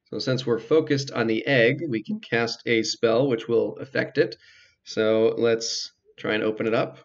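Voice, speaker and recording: A man's voice: medium pitch, fairly even intonation, unhurried, gravelly, highs cut off. A man giving casual, hesitant commentary as he plays through a game. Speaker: game player narrating a playthrough.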